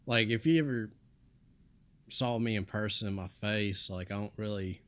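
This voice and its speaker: A young man from the United States rambling in a flat, monotone voice with mumbled asides. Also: lowish voice, moderate pace. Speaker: vlogger. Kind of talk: casual rambling monologue.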